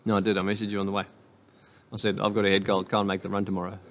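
A man's voice: lowish voice, completely flat delivery, rapid-fire. An Australian man in his thirties with a head cold, chatting and teasing his podcast co-host. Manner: chatty, teasing, talks a lot. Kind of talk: casual chat.

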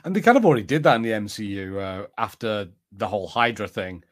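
A man's voice: fairly low pitch, animated, quick pace. A man co-hosting a podcast, chatting casually in a hesitant, rambling way. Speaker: podcast co-host. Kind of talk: casual chat, reading out viewer comments.

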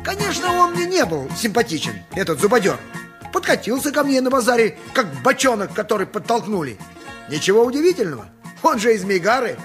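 An actor playing an indignant, pious, grumbling donkey driver in a radio play, with emphatic, plaintive peasant bluster. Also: male voice, very high-pitched, big pitch swings, a little fast, music in the background. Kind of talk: theatrical 1980s radio play acting.

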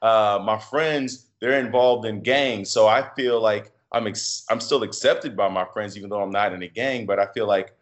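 A man talking casually as a podcast guest, reflective and halting, with many fillers and restarts. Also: lowish voice. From United States. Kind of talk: casual interview conversation.

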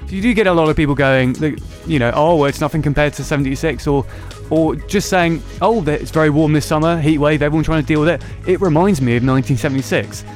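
A man's voice: on the high side, quick pace, hardly any pauses, darkish tone, soft background music. A man hosting a casual political podcast, sarcastic and mocking. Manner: sarcastic, mocking voices of others. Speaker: podcast host.

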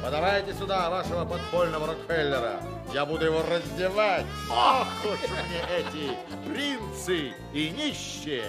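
An actor playing a cocky swindler and gang leader in a radio play, speaking in mock-pompous proclamations. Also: male voice, fairly high pitch, animated, unhurried, long pauses, over music. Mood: sly, mocking. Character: cocky, grandiose swindler leading a merry gang.

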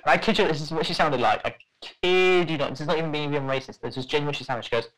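A man's voice: fairly high pitch, racing, a bit bright, poor audio. A young man telling a story in casual podcast chat, rambling with lots of fillers and restarts.

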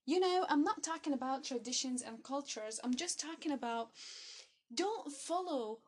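A young woman whose first language is Arabic, giving an earnest motivational talk in a halting way, often repeating and restarting phrases. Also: sits high, lively intonation. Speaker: motivational speaker. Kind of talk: motivational monologue to camera.

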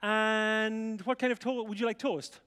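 A man's voice: high-pitched, fairly even intonation, a little slow, a bit bright, smooth, voice pushed up. An Irish man voicing a fussy, polite young waitress who rattles off endless menu options. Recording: reverberant hall sound.

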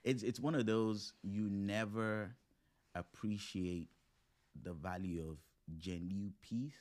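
A man in his thirties with a low voice talks as a guest in a casual interview. He is earnest and motivational, building each point in short phrases. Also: fairly even intonation, slow, a little mellow. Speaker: podcast guest.

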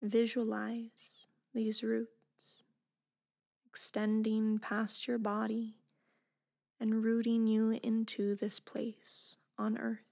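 A woman guides a meditation very slowly, in a soft and breathy voice with some creak in it. Her pitch sits a little high but stays very even. The delivery is gentle and deliberate, with phrases trailing off almost into a whisper. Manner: gentle, unhurried, deliberate instruction.

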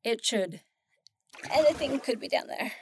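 A woman's voice: fairly high pitch, expressive, measured pace, vocal fry, slight background noise. A woman playing a curious, uneasy young human traveller in a fantasy audio drama, speaking in an informal, chatty way.

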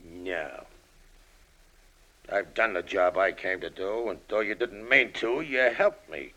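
Gravelly old American gangster. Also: male voice, fairly low pitch, expressive, pushed and bright, full character voice, low-quality recording. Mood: weary, resigned. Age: older. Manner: tough underworld slang, deliberate menace. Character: tired, hard old ex-convict gangster, avenging. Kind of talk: old-time radio crime drama acting.

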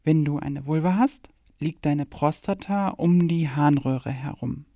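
A woman's voice: deep voice, measured pace, dark tone, no room sound, clean studio sound. A woman reading a scripted educational radio play in a frank, matter-of-fact way. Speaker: performer in an audio play.

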